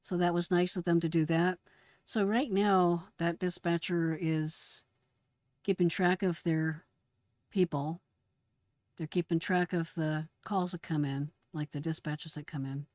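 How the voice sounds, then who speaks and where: A middle-aged woman with a fairly low voice talks casually as she explains things. The sound is dry and close, with no room on it. She comes across as a host walking listeners through radio calls. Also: steady tone, measured pace, darkish tone, no room sound. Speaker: host, retired emergency dispatcher.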